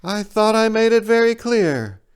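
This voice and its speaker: A man voicing a big, stubborn female owl in a comic story reading, shifting between flat statements and stammering pleas. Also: high voice, unhurried, clean tone, pitched above the performer's own voice. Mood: flat, insistent.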